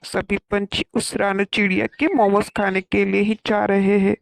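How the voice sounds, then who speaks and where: A woman's voice: medium pitch, completely flat delivery, moderate pace, gravelly, lightly acted. A woman voicing a male crow shopkeeper in a cartoon. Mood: dejected.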